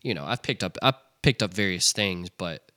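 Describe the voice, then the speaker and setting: A young man chatting on a podcast, his low voice bright and smooth. He rambles loosely, circling back and restarting his thoughts, and sounds close and dry on the mic. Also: expressive, normal speed, no echo. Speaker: podcast co-host. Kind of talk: casual chat.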